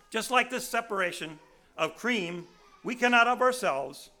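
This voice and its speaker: A man in his sixties with a raspy voice, preaching a sermon in a folksy storytelling way with many restarts. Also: sits high, lively intonation, slow pace, sharp tone.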